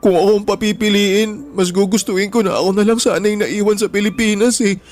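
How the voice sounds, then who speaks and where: An actor playing a wounded, bitter younger brother with a Filipino accent in a radio drama, emotional and plaintive. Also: male voice, high-pitched, fairly even intonation, fairly quick, a little mellow, natural voice. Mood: pleading, sorrowful. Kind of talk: Tagalog radio drama acting. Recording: background music throughout.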